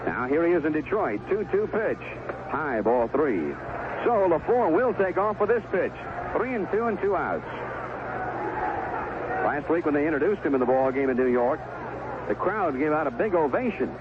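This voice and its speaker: An American man calling baseball play-by-play on the radio, in clipped phrases with unhurried pauses. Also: on the high side, very animated. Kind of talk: live baseball radio commentary. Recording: tinny, noisy 1970s AM radio broadcast.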